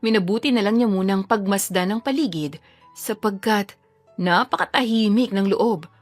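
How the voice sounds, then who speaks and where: A woman's voice: medium pitch, normal speed, no room sound, soft background music. A woman reading a scripted romance story as a dramatic narrator.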